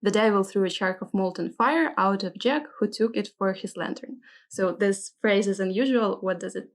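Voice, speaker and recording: A woman's voice: mid-range voice, moderate pace. A woman who is a non-native English speaker leads a vocabulary lesson, carefully reading example phrases and asking what they mean. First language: not English. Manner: inquisitive, careful, checking meanings. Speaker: host of a vocabulary segment.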